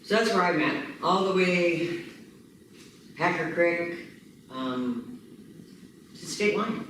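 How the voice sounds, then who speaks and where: Emphatic woman in an echoey meeting room. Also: on the low side. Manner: energetic, emphatic, lists things rapidly. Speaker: county commissioner candidate. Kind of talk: campaign talk at a community meeting. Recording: echoey room, distant mic, background noise.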